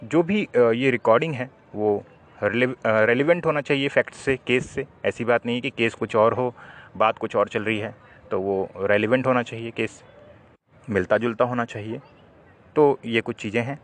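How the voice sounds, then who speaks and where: A man's voice: medium pitch, normal speed. Man explaining law. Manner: didactic, poses questions then answers them. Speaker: legal explainer, presenter. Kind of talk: explanatory legal talk to viewers.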